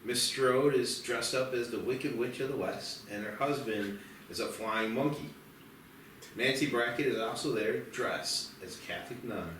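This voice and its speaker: A man's voice: mid-range voice, normal speed, fairly bright tone. A man reading out screenplay stage directions as narrator at a table read. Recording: echoey room, distant mic, faint noise.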